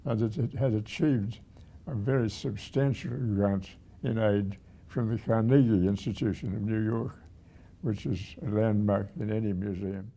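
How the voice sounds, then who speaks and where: A man of about a hundred with a husky, aged voice, reminiscing haltingly as a retired museum director. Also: on the low side, steady tone, mellow. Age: 100. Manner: halting, with repeated words and pauses.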